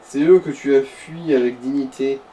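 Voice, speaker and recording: A man's voice: mid-range voice, steady tone, moderate pace, mellow. A man chatting casually as he guides a friend through a video game, dry and slangy. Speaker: co-commentator guiding the player. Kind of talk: casual gaming chat. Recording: slightly distant mic, faint background noise.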